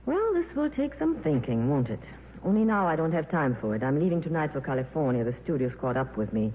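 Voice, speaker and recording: A worldly female film star, wry and breezy but soft at heart, her intonation rising and falling widely. The 1950s radio drama recording is thin and narrow, with faint noise. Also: low voice, big pitch swings, a little fast. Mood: wistful. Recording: tinny, noisy old radio broadcast.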